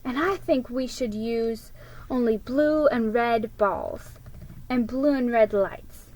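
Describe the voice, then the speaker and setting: A woman's voice: fairly high pitch, slow, darkish tone, poor audio. A woman voicing a haughty, fussy older girl in a storybook, scornful and prim in manner.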